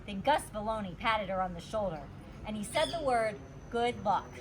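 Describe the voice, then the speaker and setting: A woman reading a children's storybook aloud as a teacher, with expressive, deliberate storytelling. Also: medium pitch, a crowd in the background, poor audio.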